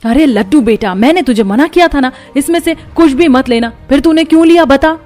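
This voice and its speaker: A woman voicing a stern old grandmother in a cartoon story, scolding loudly. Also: high-pitched, brisk, voice pushed up, natural voice. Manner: scolding, loud.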